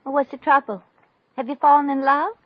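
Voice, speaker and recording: A woman's voice: sits high, fairly even intonation, a little fast, no room sound, natural voice. An actress playing a brash, wisecracking, good-hearted showgirl in a 1950s radio comedy, with a sassy, slangy delivery. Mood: friendly. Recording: dull old radio recording, faint music.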